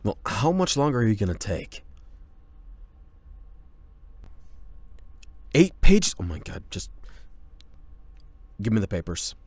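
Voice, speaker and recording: A man acts out a teenage tsundere delinquent for an anime boyfriend roleplay. His voice sits fairly low but moves in very animated swings, recorded dry and close. He is brusque, exasperated and defensive, hiding that he cares. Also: moderate pace, slightly rough, no echo. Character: gruff tsundere teenage male delinquent, impatient, secretly caring.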